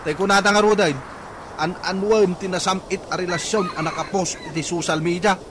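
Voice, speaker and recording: A man acting in an Ilocano radio comedy drama as an excitable, exclamatory, put-upon husband who peddles fish. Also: sits high, brisk, background noise, natural voice. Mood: aggrieved. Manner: exclamatory, wailing when upset.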